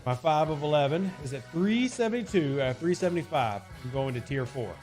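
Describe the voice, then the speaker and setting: A man's voice: a little high, lively intonation, brisk, darkish tone, soft background music. A man streaming live and answering chat questions in a casual, rambling way, often hedging with "I mean" and repeating his points.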